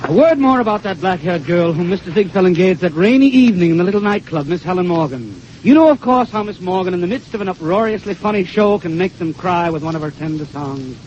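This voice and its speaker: A man's voice: high voice, expressive, fast, hardly any pauses. A man hosting a 1930s radio show, reading scripted introductions in a formal, storytelling manner. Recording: dull, noisy 1932 radio broadcast.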